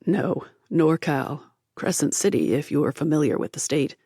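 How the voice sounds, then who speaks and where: A woman's voice: deep voice, moderate pace, warm tone, husky, no room sound. A woman voicing a guarded young American man in an audiobook, speaking in a dry, clipped way that deflects small talk. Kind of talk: audiobook character voice.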